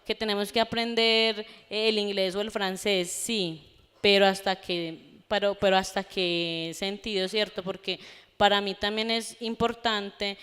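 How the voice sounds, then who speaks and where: A woman's voice: mid-range voice, fairly bright tone, smooth. Earnest young woman. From Colombia. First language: Gunadule. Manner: earnest, halting with restarts. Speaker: audience member. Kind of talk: public forum remarks. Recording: long hall reverb.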